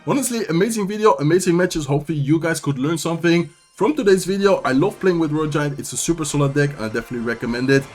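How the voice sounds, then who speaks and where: A young man narrates his own match in real time, quick and chatty, his voice a touch high for a man. He sounds upbeat and talks through every move, a little strained from losing his voice. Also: faint music behind. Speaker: gaming commentator. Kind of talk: live gameplay commentary.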